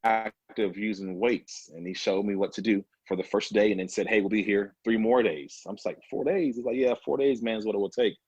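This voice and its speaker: A man's voice: lowish voice, steady tone, a little fast, hardly any pauses, a little mellow, slightly rough, no room sound, dull audio. A man in his thirties, a personal trainer, telling his story as a guest in a casual interview, with many fillers along the way. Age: 30s.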